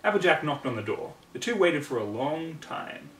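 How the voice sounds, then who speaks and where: A man's voice: a little high, very animated, normal speed, a bit bright. A man reading a scripted story as an amateur narrator, recorded at home. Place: indoors at home. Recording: roomy, distant mic, faint background noise.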